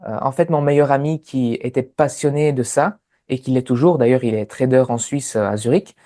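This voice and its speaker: Interview guest: Sicilian-accented man in his thirties, mellow tone, relaxed storytelling with lots of pauses. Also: mid-range voice. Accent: Sicilian Italian. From Sicily, Italy. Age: 30s. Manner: many hesitant fillers.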